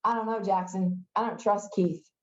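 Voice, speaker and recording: A woman's voice: medium pitch, steady tone, moderate pace, roomy sound, lo-fi sound, natural voice. A woman reading the part of a pushy, sneering middle-school newspaper editor boy in a storybook, insistent and sarcastic.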